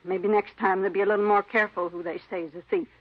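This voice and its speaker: A woman's voice: medium pitch, fairly even intonation, fast, natural voice. An actress playing an aggrieved, defiant cleaning woman with plaintive, insistent, clipped folksy phrasing in a 1950s radio drama. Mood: indignant, defiant. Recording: muffled vintage radio recording.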